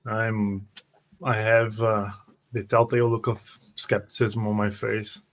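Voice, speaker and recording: A man's voice: fairly low pitch, little pitch movement, unhurried. A man playing a tabletop roleplaying game, speaking in hesitant, broken phrases.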